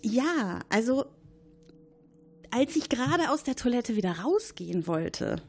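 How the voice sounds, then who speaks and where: A woman's voice: a little high, big pitch swings, a little slow, husky, full character voice. Gossipy male base supervisor, a woman plays him. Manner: rambling, hesitant, eager to accuse.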